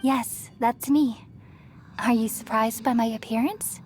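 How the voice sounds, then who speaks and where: Ancient goddess in girl's form played by a woman: high voice, serene, wise, solemn, anime game dub with ambient noise. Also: measured pace, close to an everyday voice. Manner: solemn, calm, explanatory.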